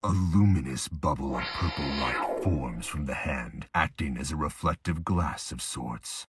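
A man with a husky voice narrating a dark audio drama slowly and ominously, with dramatic pauses. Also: extremely low pitch, background noise.

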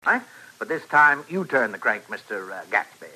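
An actor playing a smooth-talking professor who patiently shows off a wondrous machine, in an old-time radio western. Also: male voice, medium pitch, very animated, normal speed, bright, forceful tone, faint music behind, poor audio. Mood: instructing. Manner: patient, showy explaining, like a salesman. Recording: vintage radio broadcast recording.

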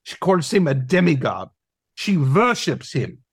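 A pompous, lecherous male dog played by an actor teaches drama in an audio play. The voice is husky and swings widely in pitch, slow and commanding, recorded dry. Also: sing-song, no echo, full character voice. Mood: instructing. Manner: theatrical, instructing, commanding.

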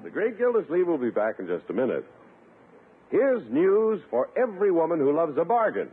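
A man's voice: a little high, big pitch swings, normal speed, very noisy. An American man announcing in a polished, emphatic 1950s radio style, reading scripted copy. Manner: polished, emphatic salesman delivery. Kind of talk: 1950s radio advertising read and scripted narration. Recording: narrow, tinny 1950s radio recording.

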